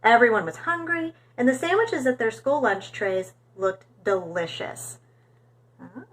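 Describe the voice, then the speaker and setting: A woman reading a storybook aloud for young children in an expressive, animated storytelling manner. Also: on the high side, a little slow.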